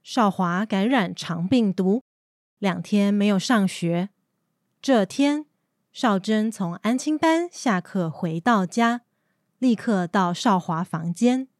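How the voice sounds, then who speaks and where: A woman narrating a family radio drama in a calm, measured way. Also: medium pitch, no echo, studio quality. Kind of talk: scripted storytelling narration.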